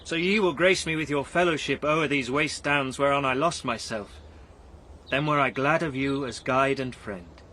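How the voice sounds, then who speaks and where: An actor playing a great, world-worn knight in a verse radio drama, formal, measured, guarded and courteous. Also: male voice, mid-range voice, pushed and bright. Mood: courtly gentleness.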